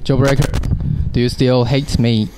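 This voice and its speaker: A man's voice: mid-range voice, flat delivery, measured pace, loud music behind the voice, low-quality recording. A man in his thirties hosting a radio show in casual, bantering, rambling improvised chat. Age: 30s. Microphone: radio show microphone. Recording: clear background noise with clicks.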